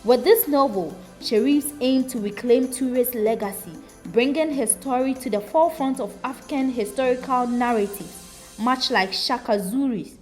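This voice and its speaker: A woman's voice: a little high, moderate pace. Upbeat woman narrating a news recap. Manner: polished, upbeat delivery. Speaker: reporter narrator. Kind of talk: scripted narration. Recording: music under voice-over. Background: music bed.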